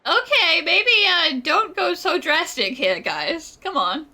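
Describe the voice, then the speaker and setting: A woman's voice: extremely high pitch, very animated, slow, heavy background noise. A woman commenting on a game as she plays, reacting casually in a wry, joking way. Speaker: gamer commenting on a playthrough.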